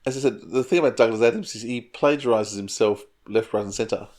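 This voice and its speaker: A man's voice: medium pitch, fairly quick, a little mellow. A man co-hosting an audio drama podcast, chatting casually and enthusiastically with frequent restarts. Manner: rambling, enthusiastic, restarts often. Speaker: podcast co-host. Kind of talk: casual chat.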